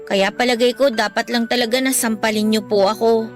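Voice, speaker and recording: A woman's voice: fairly high pitch, fairly even intonation, a little fast, faint music behind, lightly acted. A woman playing a respectful, devoted young student daughter in a Filipino radio drama, polite and earnest. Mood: contrite, worried.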